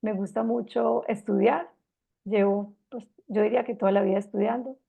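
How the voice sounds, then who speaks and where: A Colombian woman in her fifties with a Medellín accent, gently giving a reflective personal talk with frequent pauses and restarts. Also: medium pitch, fairly even intonation, vocal fry, breathy edge, narrow, tinny audio. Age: 50s.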